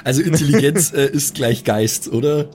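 A man's voice: on the high side, normal speed, darkish tone, soft background music. A man taking part as a player in a tabletop role-playing podcast, chatting casually. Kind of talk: casual game chat.

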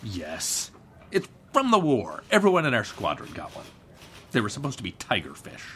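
A man's voice: mid-range voice, huge pitch swings, normal speed, sharp tone, slight background noise. An actor playing a haughty, indignant businessman suspect with a pompous, blustering manner in a radio melodrama. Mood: grudging. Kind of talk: old-time radio melodrama acting.

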